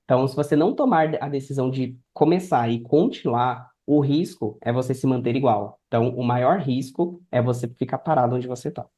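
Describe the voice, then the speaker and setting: A man's voice: medium pitch, a little fast, hardly any pauses, dark tone. A Brazilian man speaking as a podcast guest, explaining things conversationally like a mentor.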